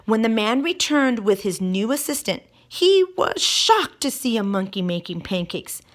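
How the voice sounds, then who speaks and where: A woman reading a picture book aloud to children in an expressive way, drawing out key words and putting questions to her listeners. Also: on the high side, unhurried.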